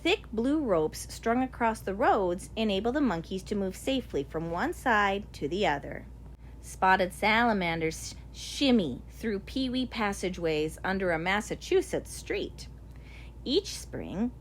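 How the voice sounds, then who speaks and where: A woman narrates a children's nature picture book with deliberate, expressive phrasing. Her medium-pitched voice moves up and down in a lively way, and there is some faint but steady noise behind the recording. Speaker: audiobook reader.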